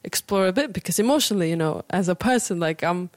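A young woman with a British accent talks casually as a musician being interviewed. Her voice sits a little low, and the sound is close and dry. She reflects and thinks aloud as she goes. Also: expressive, fast, darkish tone, no room sound. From Portugal.

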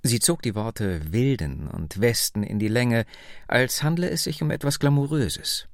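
A man reading a novel aloud for radio, slow and expressive. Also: mid-range voice, dry recording, clean studio sound. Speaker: reader.

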